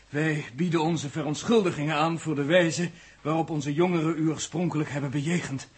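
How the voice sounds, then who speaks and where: An actor playing a formal, courteous elder of an alien people in a 1960s radio play. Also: male voice, on the high side, fairly even intonation, normal speed, lightly acted. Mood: formal, apologetic. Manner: stately, courteous, formally worded. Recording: noisy old radio recording with crowd clamour.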